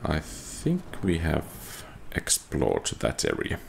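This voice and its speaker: A man doing casual video game commentary, halting and musing as if thinking aloud. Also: a bass voice, huge pitch swings, vocal fry, slight background noise. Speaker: gamer narrating own playthrough.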